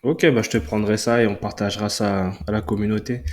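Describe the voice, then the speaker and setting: A man's voice: fairly low pitch, steady tone, fairly quick. A man from France hosting an interview, asking questions in a friendly, conversational way. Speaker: podcast host. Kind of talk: casual interview chat.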